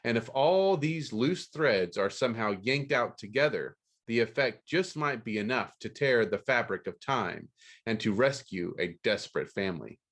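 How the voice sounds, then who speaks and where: A man's voice: mid-range voice, expressive, moderate pace, a bit bright. A man hosting a podcast about books. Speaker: podcast host. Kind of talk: scripted book news read aloud, then casual interview.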